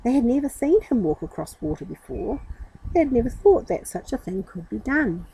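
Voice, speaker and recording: A woman's voice: fairly high pitch, big pitch swings, moderate pace, vocal fry, warm tone, slight background noise, poor audio. A woman reading a Bible story aloud to children, dramatically and addressing them directly.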